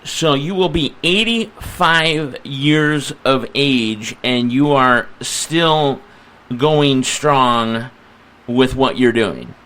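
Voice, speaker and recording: Slow-paced American man hosting radio. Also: mid-range voice, sharp tone, rough recording. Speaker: radio talk show host. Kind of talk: live radio interview.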